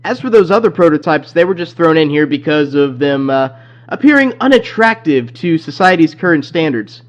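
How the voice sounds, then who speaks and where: Man acting rambling, higher-voiced phone guy, muffled. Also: expressive, normal speed, natural voice. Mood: chatty, dismissive. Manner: hesitant, rambling, full of ums. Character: chatty, awkward male company phone caller briefing night guard. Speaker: phone guide character in fan horror game. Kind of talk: rambling phone-message monologue. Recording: muffled lo-fi phone-message audio.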